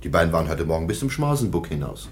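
A man's voice: low-pitched, sing-song, racing, slight background noise. A man playing a well-mannered, concerned aristocratic baron in a radio play. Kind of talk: German radio play acting.

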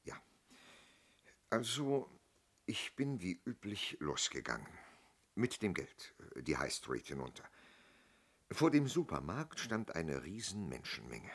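An actor in a German radio play playing a polite older man giving a statement, husky and halting, courteously over-explaining. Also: deep voice, sing-song, heavily acted. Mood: polite, hesitant. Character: polite, hesitant older male robbery victim giving a statement.

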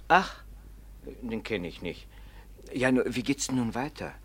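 A man's voice: mid-range voice, lively intonation, unhurried, fairly bright tone, breathy edge. A man playing a plain-spoken, dutiful warden in a radio play, simple and deferential. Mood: chatty. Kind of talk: German radio play acting.

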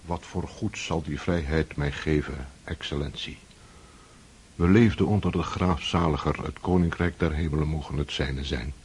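An actor as a deep-voiced loyal old servant. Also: male voice, a little hiss or hum. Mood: deferential. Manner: respectful, slow, humble. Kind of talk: radio drama acting.

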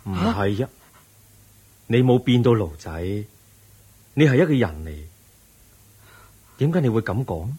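Slow, very husky, serene Buddha. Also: male voice, mid-range voice, very animated, mellow. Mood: gentle, calm. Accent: Hong Kong Cantonese. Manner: measured, solemn, instructive. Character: serene, wise male Buddha, compassionate and firm. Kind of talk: Cantonese radio drama acting.

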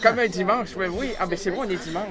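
Québécois man, earnest explainer, slightly high voice, noisy café terrace. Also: fast. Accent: Québécois French. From Quebec. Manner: earnest, explains at length with many hesitations. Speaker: conversation partner. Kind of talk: casual chat. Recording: loud crowd chatter behind.

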